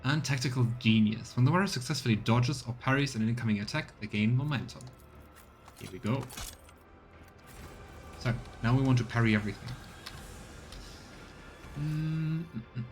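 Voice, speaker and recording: A man streaming a role-playing game, chatting casually as he plays and rattling off item descriptions in quick bursts. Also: mid-range voice. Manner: thinks aloud, reads item text in quick bursts. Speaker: let's play streamer. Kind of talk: casual gameplay commentary.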